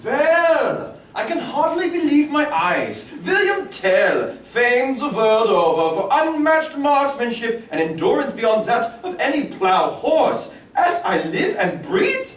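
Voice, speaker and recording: Someone doing a slow, gloating tyrant prince. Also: high-pitched, sing-song, exaggerated voice. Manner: mocking, drawling, gloating. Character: smug, cruel occupying prince, male. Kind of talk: table read acting. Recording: roomy room, distant mic, faint hiss.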